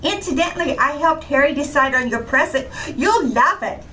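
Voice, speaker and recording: Bright, high-voiced daffy housewife played by a woman. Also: animated, normal speed, slight background noise, rough recording. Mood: bright, guileless. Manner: guileless, innocently illogical. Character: cheerful scatterbrained housewife with daffy literal logic. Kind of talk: old-time radio comedy acting.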